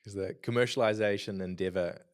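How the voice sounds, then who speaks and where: A man with a deep voice hosts a podcast interview. He talks in a relaxed way, thinking aloud as he sums up his guests' points. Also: brisk, clean tone. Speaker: podcast host.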